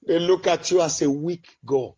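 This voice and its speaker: A man leading an impassioned, pleading prayer, swinging between loud cries and low murmurs. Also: moderate pace, husky, dull audio. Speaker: prayer leader. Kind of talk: fervent spoken prayer.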